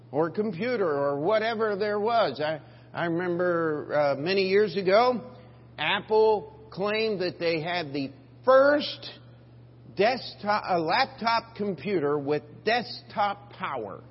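High-voiced slow-preaching man pastor. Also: slightly rough. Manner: emphatic, rising on scripture, dropping low for asides. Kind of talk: preaching a sermon. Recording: dull lo-fi church recording with hall reverb and faint hum.

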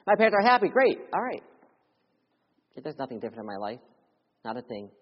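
A young man preaches with earnest urgency, his voice slightly husky as it swings from loud appeals to hushed, quiet lows. His words ring out with a long reverb through a church sanctuary. The recording itself sounds dull and muffled. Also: huge pitch swings, brisk. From Philadelphia. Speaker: missionary preacher. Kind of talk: sermon with personal testimony.

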